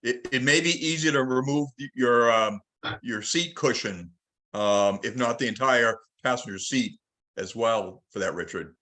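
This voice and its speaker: A man with a bright, forceful voice at an average pitch moderates a technical group call. He speaks conversationally, repeating words as he checks details. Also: normal speed. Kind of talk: technical club discussion.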